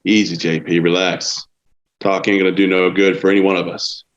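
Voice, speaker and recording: A man reading a tough, steady Texas Ranger in his fifties at a screenplay table read, speaking calmly and reassuringly. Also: fairly quick, lightly acted. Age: 50s. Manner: calm, low, reassuring. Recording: dull, lo-fi sound.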